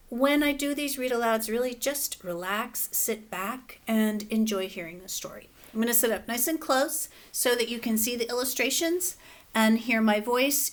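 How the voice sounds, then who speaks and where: Teacher lady doing a storybook read aloud, chill, easygoing, some um's. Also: a little high. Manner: relaxed, gently instructive with fillers.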